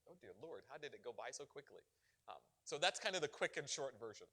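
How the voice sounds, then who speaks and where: A middle-aged man from Barbados, a bishop answering questions at a parish gathering with animated, preacherly emphasis and frequent laughter. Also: mid-range voice, fast. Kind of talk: parish Q&A talk.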